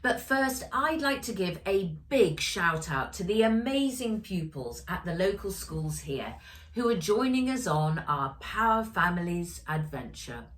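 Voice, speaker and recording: Slow, expressive woman reading a storybook. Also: lowish voice. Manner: expressive, addressing young listeners. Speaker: author reading own story. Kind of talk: children's storybook narration.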